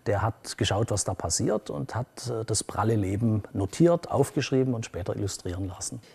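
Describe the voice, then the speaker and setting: Male historian, dark mellow voice, hesitant interview delivery. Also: lowish voice, expressive, normal speed, vocal fry, husky. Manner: hesitant, frequent "äh" fillers. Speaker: museum director and historian.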